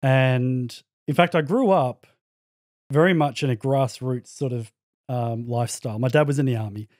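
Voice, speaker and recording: Reflective Australian man, mellow and dry. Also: medium pitch, moderate pace, dry recording. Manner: reflective storytelling, searching for words. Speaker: podcast guest. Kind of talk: casual conversation.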